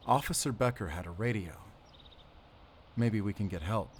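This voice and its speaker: A man playing a weary, determined werewolf hunter in a cinematic audio roleplay, speaking pensively in short, halting phrases. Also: on the low side, noisy background, natural voice. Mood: somber, weary. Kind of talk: cinematic audio roleplay acting, addressing a silent companion. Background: cinematic ambient sound effects.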